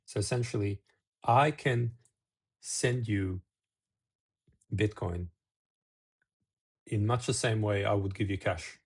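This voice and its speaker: Gravelly low-voiced man from Serbia. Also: takes it slowly, long pauses. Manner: rambling, with restarts and asides. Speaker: video host. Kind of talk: casual talk to camera.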